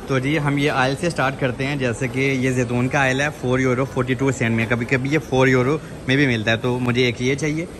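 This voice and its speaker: Chatty man vlogging in a noisy supermarket. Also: medium pitch. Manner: chatty, addresses viewers as "janab", says "theek hai" often. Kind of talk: casual walk-through vlog. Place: large supermarket in a shopping mall, indoors. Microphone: handheld phone camera. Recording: noisy store background.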